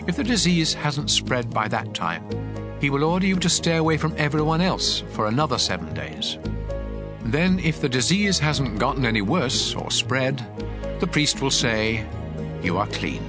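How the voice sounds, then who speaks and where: A man playing a solemn, commanding God, reading scripture laws in a measured, emphatic way over music. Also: mid-range voice, lively intonation, lightly acted. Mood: solemn, instructive. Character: God speaking law, solemn, commanding male deity.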